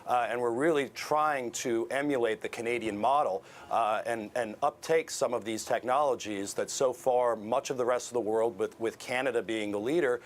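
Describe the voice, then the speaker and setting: A man with an American accent giving explanations as an expert guest in a news interview, in a hesitant, halting way. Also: mid-range voice.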